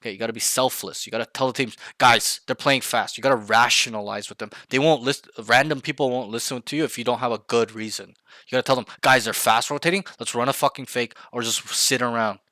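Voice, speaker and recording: A man's voice: mid-range voice, steady tone, a little fast, bright, forceful tone, no room sound, studio quality. A man coaching a younger player, blunt and emphatic, repeating key points to drive them home. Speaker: game coach. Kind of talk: coaching session, direct feedback.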